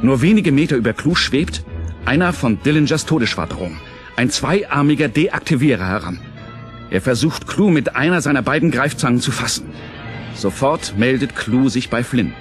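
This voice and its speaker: A man's voice: mid-range voice, lively intonation, normal speed. A man narrating a radio play from a script in a theatrical, suspenseful, articulate manner. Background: music.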